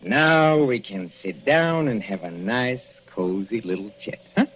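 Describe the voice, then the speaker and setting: An actor playing a sadistic middle-aged professor with a Central European accent, mocking and chuckling with purring menace in a 1940s radio play. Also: male voice, fairly low pitch, animated, unhurried, fairly bright tone. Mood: gloating. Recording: lo-fi vintage radio recording, faint hiss.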